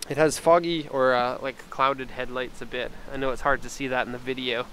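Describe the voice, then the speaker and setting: A middle-aged man giving a casual, unscripted car walkaround, relaxed and thinking aloud, recorded on a handheld camera. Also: medium pitch, fairly quick, poor audio. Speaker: car exporter presenting a vehicle. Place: outdoors by a parked car and inside it. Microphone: handheld camera microphone. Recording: outdoor handheld camera, clear ambient noise.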